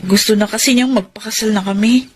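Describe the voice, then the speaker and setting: An actress with a Filipino accent playing an ambitious, hesitant young factory worker in a radio drama, speaking in an emphatic, conversational way. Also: female voice, medium pitch, fairly even intonation, quick pace, a little mellow, breathy edge, close to an everyday voice. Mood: earnest, conflicted. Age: young adult. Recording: faint background noise.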